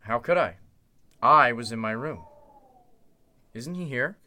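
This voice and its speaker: A man acting as a suspect in an old-time radio mystery, defensive yet commanding. His mid-pitched voice is bright and tense, with firm, dramatic phrasing. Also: expressive, takes it slowly, exaggerated voice.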